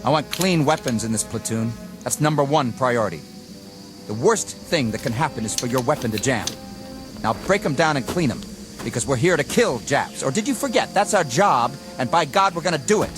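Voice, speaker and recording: An actor playing an arrogant, gung-ho young army lieutenant who barks harsh, contemptuous orders, in a TV drama with a loud score behind him. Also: male voice, mid-range voice, expressive, a little fast, music in the background, natural voice. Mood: barking. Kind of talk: 1960s TV drama acting.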